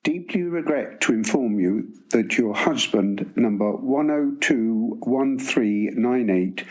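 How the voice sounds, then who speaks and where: A man reading official historical correspondence aloud in a measured, formal manner. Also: mid-range voice, low-quality recording. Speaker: reader of historical documents.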